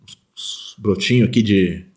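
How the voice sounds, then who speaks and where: A man's voice: fairly low pitch, measured pace, darkish tone. A man in his thirties with a Brazilian Portuguese accent, hosting a food tasting with casual banter. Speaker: food-tasting show host. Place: small studio at a table. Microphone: desk microphone between two speakers.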